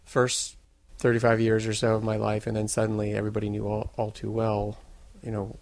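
A filmmaker guest from the United States talks in slow, reflective sentences. His low voice stays fairly flat in pitch. A gravelly edge runs through his speech. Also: a little hiss or hum. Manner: measured, thoughtful, slow-building sentences. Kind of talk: reflective interview answers.

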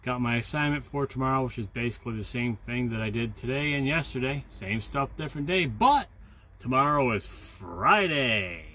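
A man's voice: mid-range voice, lively intonation, normal speed, sharp tone. A man in his forties, a truck driver vlogging casually to a dashboard phone from his cab, rambling and joking about himself. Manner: rambling, self-deprecating, chatty. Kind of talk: casual chat to camera. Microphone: phone camera on dashboard. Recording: engine and road noise behind.

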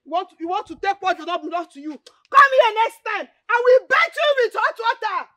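A woman's voice: extremely high pitch, fairly even intonation, racing, no echo, studio quality. An actress with a Nigerian accent playing a fierce, scornful woman who scolds and hurls insults. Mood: scornful, angry. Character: scornful, fierce woman defending her brother. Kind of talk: Nollywood film acting.

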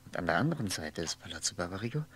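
A man's voice: sits low, sing-song, racing, a bit bright. An actor playing a lonely, brooding man who muses and repeats himself absently in a 1960s radio play. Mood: musing. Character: lonely brooding man lost in his memories. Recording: faintly humming, noisy 1960s radio recording.

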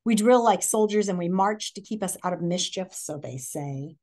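A middle-aged woman with an American accent reads a picture book aloud, expressively, pausing to put questions to young listeners like a teacher. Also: on the low side, animated, darkish tone. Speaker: read-aloud presenter. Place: living room, indoors.

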